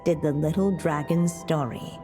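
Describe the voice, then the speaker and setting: A woman playing a gentle, solemn fairy-tale narrator in a video game, measured in delivery, in long reverb. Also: low-pitched. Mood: tender, solemn. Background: music.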